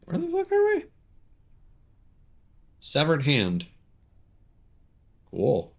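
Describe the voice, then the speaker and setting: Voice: medium pitch, wildly swooping pitch, extremely slow. A game streamer giving casual, profane live commentary with sardonic, joking asides. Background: video game music and sounds.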